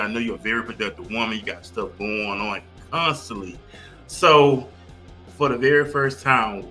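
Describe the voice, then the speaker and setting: Bright, forceful male podcast host. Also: on the low side. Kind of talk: enthusiastic podcast hosting.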